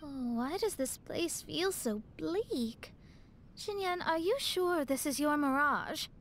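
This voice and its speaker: A woman's voice: sits high, expressive, slow, fairly bright tone, slight background noise, full character voice. A woman playing a thoughtful, polite young female astrologer, earnest and articulate, in a video game. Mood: uneasy.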